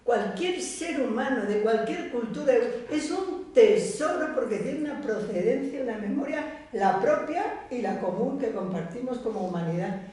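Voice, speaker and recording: Woman, fairly high, mellow tone, earnest and reflective, echoey room. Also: lively intonation, normal speed, hardly any pauses. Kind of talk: round-table discussion. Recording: echoey room, far from mic.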